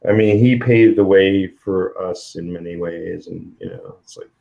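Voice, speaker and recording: A man's voice: sits low, animated, normal speed, vocal fry, lo-fi sound. A man with a New York accent hosting a casual comics livestream, rambling with frequent restarts. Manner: rambling, restarts often, laughs between remarks. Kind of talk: casual chat.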